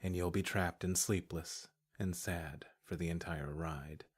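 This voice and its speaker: A man's voice: low-pitched, steady tone, unhurried, husky. A man working as a voice-over artist, reading scripted literary narration.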